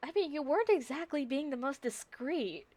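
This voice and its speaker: A woman's voice: sits high, lively intonation, normal speed, darkish tone. A woman game streamer giving casual live commentary as she plays.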